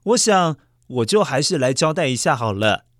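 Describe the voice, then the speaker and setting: A man's voice: medium pitch, very animated, moderate pace, no echo, studio quality, lightly acted. A man playing a cheerful, theatrical story-show uncle with a Taiwanese accent and a secret space-captain side, in a children's radio play. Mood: breezy, confessing. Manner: playful, theatrical.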